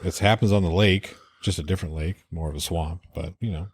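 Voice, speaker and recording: A man's voice: sits low, brisk, breathy edge, dry recording. A man co-hosting a casual talk about horror films, easygoing and asking leading questions.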